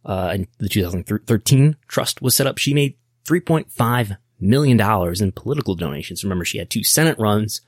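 A man's voice: fairly low pitch, lively intonation, fairly quick, no echo, studio quality. An American man hosting a podcast, giving casual explanatory business commentary in a wry, nerdy way, often thinking aloud. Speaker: podcast host.

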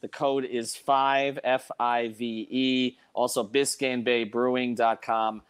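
A man with a medium-pitched voice hosts a casual sports discussion. He is emphatic, often doubling back on phrases to stress a point. The sound is dry, with little room to it. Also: level tone, slow pace, smooth, no room sound. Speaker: sports podcast host.

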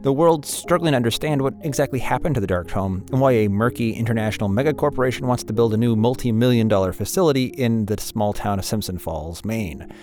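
A man's voice: medium pitch, fast talker, mellow. A man hosting a podcast, making an enthusiastic, semi-scripted announcement. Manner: upbeat, enthusiastic. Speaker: podcast host and producer. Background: music.

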